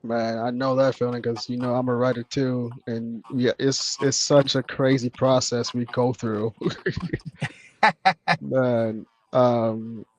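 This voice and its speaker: A man hosting a podcast, chatting casually and upbeat with a guest, slangy and quick to laugh. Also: medium pitch, fairly even intonation, poor audio. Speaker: podcast host. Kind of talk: casual interview chat.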